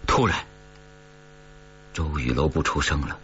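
Very deep-voiced man narrating horror fiction. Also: a little slow, bright, forceful tone. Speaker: audiobook narrator. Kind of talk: scripted narration of a horror story. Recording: dull recording with mains hum.

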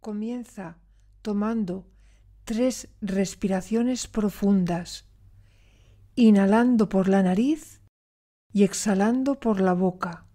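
Female meditation guide: mellow, relaxed tone, slow delivery, soothing, with long pauses. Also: medium pitch.

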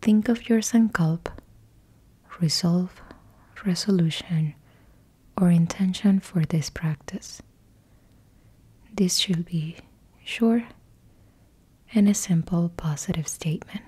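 Woman, meditation guide, whispered delivery, very slow and low, close to the mic. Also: warm tone, husky. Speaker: yoga nidra guide.

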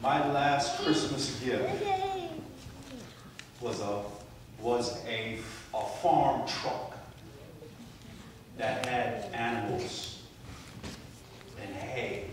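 A man in his forties from Barbados, preaching a sermon with a handheld mic, moving from soft storytelling asides to loud emphatic peaks. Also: mid-range voice, very slow, long pauses, far from the mic, background noise. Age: 40s. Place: church sanctuary. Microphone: handheld mic, far camera. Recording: echoey church, captured from afar.

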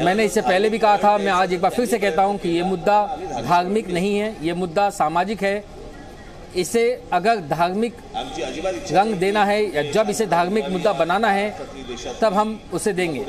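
A man reading a voice-over translation of a political statement with deliberate, emphatic phrasing. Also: high-pitched.

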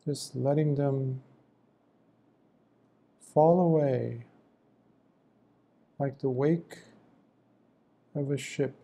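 A man guiding a meditation as a teacher, speaking gently in short phrases with long pauses between them. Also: mid-range voice, fairly even intonation, vocal fry. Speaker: meditation teacher, Buddhist monk. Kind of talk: guided meditation, slow spoken instruction.

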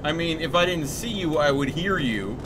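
A high-voiced man in his thirties, talking like an excitable game streamer. He swears and exclaims freely over clearly audible engine noise from the game. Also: normal speed. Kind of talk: casual gaming livestream chat. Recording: noisy game vehicle sounds behind. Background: video game engine and vehicle noise.

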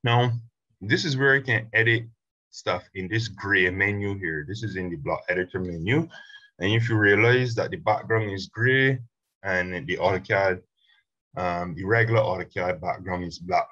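A man walks through a software tutorial step by step in a relaxed, unhurried way, with plenty of ums along the way. His voice sits on the low side. The recording sounds muffled and lo-fi. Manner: relaxed step-by-step explaining with fillers. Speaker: instructor.

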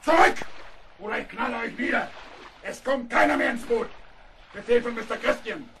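A high, gravelly voice over noisy ambience, a rough armed mutineer. He is brusque and bullying, in theatrical radio play style. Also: normal speed, fairly bright tone. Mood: aggressive, barking. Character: rough, aggressive armed male sailor mutineer.

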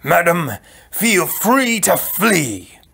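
A man voicing a vain, bad-tempered young prince in a storybook, blunt, loud and blustering. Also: medium pitch, expressive, moderate pace, slightly rough. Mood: furious.

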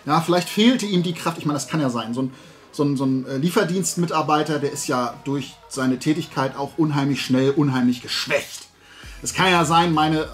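High-pitched man chatting with sarcastic asides. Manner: animated storytelling with sarcastic asides. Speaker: host of a talk and toy channel. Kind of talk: casual chat, telling an anecdote to camera. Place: small room at home with shelves of collectibles.

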